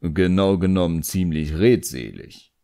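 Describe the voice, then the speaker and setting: Man playing an old imp servant, low voice, slangy, cheeky, evasive, grumbling, fantasy audiobook, dry sound. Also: unhurried, mellow, smooth, no room sound. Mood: evasive, wry.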